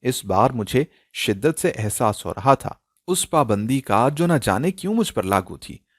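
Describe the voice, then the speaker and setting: A man narrating a scripted dramatic story in an emotional, expressive style. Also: medium pitch, a little slow, dry recording, studio quality.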